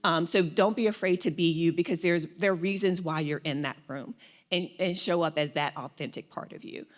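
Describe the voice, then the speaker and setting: A woman's voice: lowish voice, fairly quick. A woman panelist giving earnest, reflective advice, often repeating words as she goes. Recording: reverberant hall.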